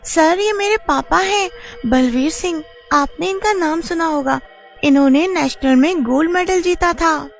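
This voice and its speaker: A woman voicing an earnest young girl wrestler, a devoted daughter, in an animated story. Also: extremely high pitch, measured pace, creaky, soft background music, voice pushed up, full character voice. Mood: earnest, reassuring. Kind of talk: Hindi animated story acting.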